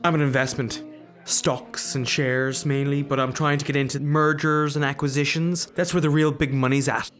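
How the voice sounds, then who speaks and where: Cocky, blustering Irish chancer played by an actor. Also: male voice, a little high, little pitch movement, normal speed, a bit bright, faint music behind, lightly acted. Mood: cocky, flirting. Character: brash, clumsy, boastful male chancer chatting up women. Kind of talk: comic radio drama acting.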